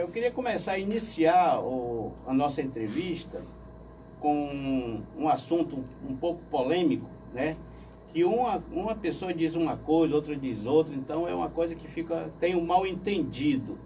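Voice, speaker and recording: A man's voice: fairly high pitch, expressive, moderate pace, mellow. A man hosting a weekly web interview, formal in greeting and loose in his questions. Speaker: interview host. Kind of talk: interview presenting. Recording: distant mic with faint noise.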